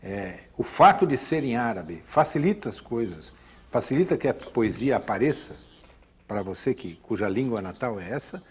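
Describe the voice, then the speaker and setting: A man's voice: medium pitch, sing-song, a little fast, darkish tone, slightly rough. A Brazilian Portuguese-speaking man interviewing a guest, asking long questions and rephrasing them to clarify.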